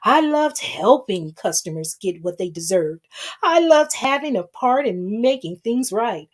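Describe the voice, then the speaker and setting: A woman voicing a meek, docile old man who plays the victim in a soft, earnest dramatic reading. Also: medium pitch, animated, measured pace. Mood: earnest, self-pitying.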